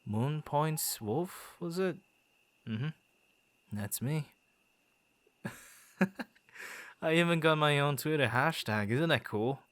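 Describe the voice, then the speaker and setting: A man's voice: fairly high pitch, animated, slow, pauses often, a bit bright, no room sound. A man voicing a friendly, bashful werewolf who guards his forest, stammering and playfully theatrical, in an ASMR boyfriend roleplay. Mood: amused, chuffed.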